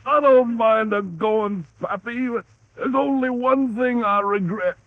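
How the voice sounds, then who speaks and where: A man's voice: very high voice, slow pace, no echo, full character voice. A man playing a loyal, simple young son, earnest in a 1930s radio comedy. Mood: mock-dying. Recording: muffled vintage 1930s radio broadcast.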